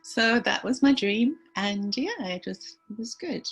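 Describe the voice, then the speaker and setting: A woman in her sixties, born and raised in Trinidad, chatting as a guest about her nursing career in a relaxed, storytelling way with easy laughter. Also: a little high, unhurried, breathy edge, soft background music, rough recording. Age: 60s. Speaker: aesthetic nurse, guest. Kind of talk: relaxed conversational interview.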